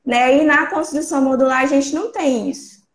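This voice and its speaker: A woman from Espírito Santo talks as an interview guest in a high voice with a gravelly edge. She explains things earnestly and conversationally, often checking in with the listener. The video-call audio has a roomy echo. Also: brisk. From Espírito Santo, Brazil. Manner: earnest, conversational, steady tag questions. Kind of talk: casual explanatory talk on a live stream.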